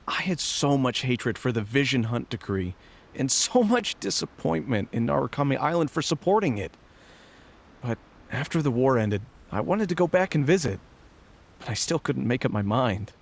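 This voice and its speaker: An actor playing a nervous, deferential young soldier in a video game, stammering and apologetic. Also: male voice, fairly high pitch, vocal fry, a little hiss or hum.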